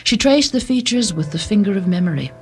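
A woman's voice: mid-range voice, lively intonation, fairly quick, a little mellow, slightly rough. A woman giving a dramatic scripted reading of a short story. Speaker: story narrator. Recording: music bed behind the voice.

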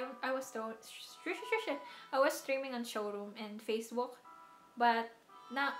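A woman's voice: on the high side, a bit bright, reverberant. A young woman with a Filipino accent chatting casually with live viewers, often laughing and restarting her words. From Philippines. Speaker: streamer. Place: small room indoors.

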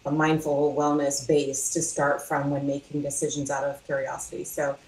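Female, 30s. Low voice, explanatory guest delivery from a roomy, echoing space. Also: monotone, moderate pace, darkish tone. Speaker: guest, leadership trainer. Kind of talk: explanatory interview talk. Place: small indoor room, video call. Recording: roomy echo, faint noise.

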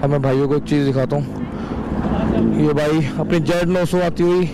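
A man's voice: on the high side, fairly even intonation, moderate pace. A man giving casual, enthusiastic motovlog commentary, often repeating his exclamations. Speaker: motovlogger, host. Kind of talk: casual vlog commentary to viewers. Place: outdoors on open roads and roadside. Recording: very loud outdoor motorbike and traffic noise. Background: motorcycle engines, vehicles.

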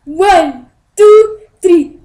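A woman's voice: very high voice, drawn-out words, mellow, reverberant. A young girl play-acting dramatically and playfully in a homemade puppet show with stuffed toys. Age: child. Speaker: amateur puppeteer. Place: indoor room at home.

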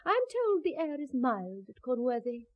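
Casting: an imperious medieval noblewoman for radio drama, high-pitched, sneering and cruel. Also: big pitch swings, moderate pace, highs cut off. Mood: silky disdain. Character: haughty, mocking, cruel medieval lady of the manor. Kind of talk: 1970s radio drama acting.

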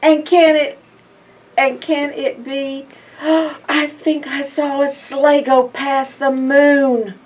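Older female storytime reader, unhurried and expressive, slightly high voice, addressing little kids. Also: recorded from a distance. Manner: expressive, drawn-out phrases with hushed asides and questions to listeners. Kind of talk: animated picture-book read-aloud to young children. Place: indoor library in front of bookshelves.

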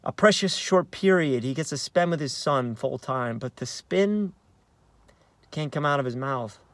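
A man in his thirties with an American accent, an actor reading a play as conversational, halting narration with uneven emphasis, recorded inside a car. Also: mid-range voice, animated, no echo. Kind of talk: dramatic narration of a play read on book. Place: inside a parked car in daylight.

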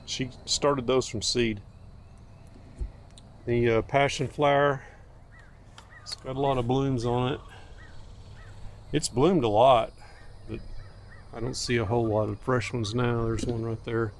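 Folksy man, slow unhurried garden tour. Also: mid-range voice, fairly even intonation. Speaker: homestead vlogger showing his garden. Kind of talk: casual, unscripted garden tour. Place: outdoors in a garden. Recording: outdoor recording with faint ambient noise.

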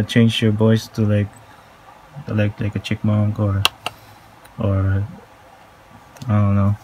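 A man giving a casual hands-on tutorial in a halting, informal way, recorded on a handheld phone at home. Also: fairly low pitch, background noise. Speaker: tutorial presenter. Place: small room at home, indoors.

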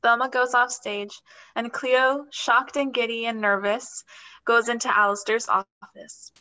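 A woman's voice: a little high, fairly even intonation, measured pace, pushed and bright. A young woman reading scripted stage directions as the narrator of a play reading.

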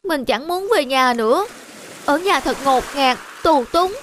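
A sulky teenage schoolboy from a cartoon drama, voiced by a woman, extremely high in pitch. He is defensive and whiny, always complaining. Music is clearly audible underneath. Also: lively intonation, measured pace. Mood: resentful. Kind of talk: animated drama dialogue.

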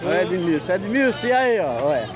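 A man's voice: sits high, very animated, fairly quick. A man with a Northeastern Brazilian accent from Pernambuco, chatting casually and greeting people as a market vlogger. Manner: calls out and greets passersby informally. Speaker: vlogger filming a street market. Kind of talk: casual chatty walk-through commentary. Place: outdoor street market. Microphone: handheld phone held by the person filming. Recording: busy outdoor crowd and street noise.

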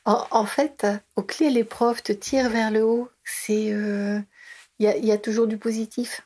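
Hesitant middle-aged woman, mellow husky voice. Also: on the high side, fairly even intonation, normal speed. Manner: hesitant, many fillers and restarts. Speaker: interviewee, early childhood educator. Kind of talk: casual recorded interview.

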